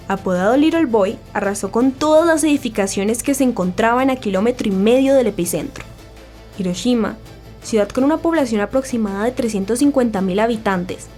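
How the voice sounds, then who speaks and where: A grave newsreader in a 1940s radio drama , acted by a woman, with a fairly high voice. The delivery is formal, scripted and dramatic, with faint music behind it. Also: darkish tone, close to an everyday voice. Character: solemn 1940s radio newsreader, a woman, reporting war's end. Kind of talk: scripted radio news narration.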